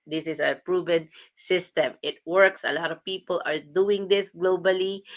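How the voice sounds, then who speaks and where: A woman's voice: on the low side, pushed and bright. A woman from the Philippines hosting a casual livestream as a business coach, chatty and upbeat, laughing often.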